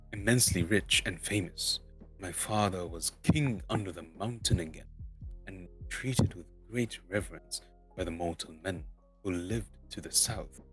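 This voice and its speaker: A man voicing a proud, impatient dwarf leader in a storybook reading, with grandiose, emphatic, halting delivery. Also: low voice, fairly bright tone.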